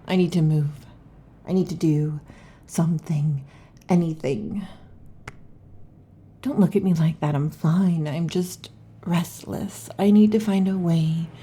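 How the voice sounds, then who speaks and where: A woman voicing a restless, irritable female snake-tailed lamia in an ASMR roleplay, huffy and dramatic, often slipping into soft whispers. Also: on the low side, husky, full character voice.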